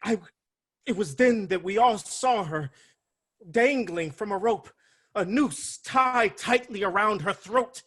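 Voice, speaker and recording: Man as grim messenger, grave, theatrical tragedy reading, husky, fairly high voice, video call. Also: slow pace, a bit bright, exaggerated voice. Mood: grieving. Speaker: actor in a dramatic reading. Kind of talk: staged reading of a Greek tragedy.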